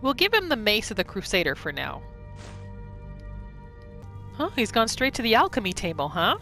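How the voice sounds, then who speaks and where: A woman's voice: medium pitch, huge pitch swings, normal speed, sharp tone. A woman gaming YouTuber giving casual, story-like commentary as she narrates a roleplay playthrough. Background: game music.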